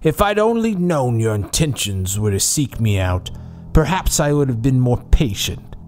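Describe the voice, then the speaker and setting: A man's voice: mid-range voice, very animated, normal speed, darkish tone, soft background music, lightly acted. A man playing a menacing, smug doctor villain in an audio horror drama, pompous and theatrical. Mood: smugly amused.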